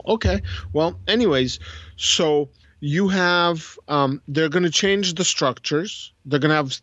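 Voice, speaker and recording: Rambling man with a slightly higher voice. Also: a bit bright, no room sound, muffled recording. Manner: rambling, thinks aloud with many self-corrections. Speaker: podcast co-host. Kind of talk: casual podcast chat about strategy games.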